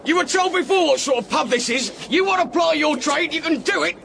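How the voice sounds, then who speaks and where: An actor playing an aggressive pub bully, shouting threats in a radio drama. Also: male voice, extremely high pitch, fairly quick, creaky. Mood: threatening. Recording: noisy street crowd, engine din.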